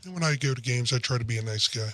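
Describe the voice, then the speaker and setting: Blunt man with a deep throaty voice. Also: very fast, bright, forceful tone. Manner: blunt, opinionated, curses casually. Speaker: sports podcast co-host. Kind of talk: casual sports chat.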